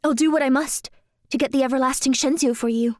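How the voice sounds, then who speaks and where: A woman plays a polite young dancer with magical gifts in an audio drama. Her high voice is sincere and careful, and the recording is close and dry. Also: fairly even intonation, quick pace, no echo, lightly acted. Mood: sincere, curious.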